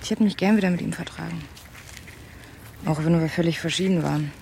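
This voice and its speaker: A woman's voice: lowish voice, brisk, gravelly, darkish tone, a little hiss or hum. An actress playing a rich businessman's sardonic, outspoken daughter in a radio crime drama, with a blunt, mocking way of talking. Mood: bitter, wistful.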